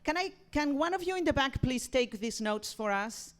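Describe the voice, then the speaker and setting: A woman leading an informal feedback discussion, encouraging and jokey, speaking in a halting way. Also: high-pitched, animated. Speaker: moderator of audience feedback session. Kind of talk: informal post-show discussion.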